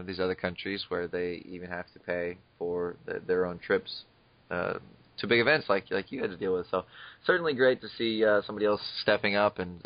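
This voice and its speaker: Low-voiced chatty male podcast host. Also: muffled recording. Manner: chatty, frequent fillers, amused asides. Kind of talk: casual podcast discussion.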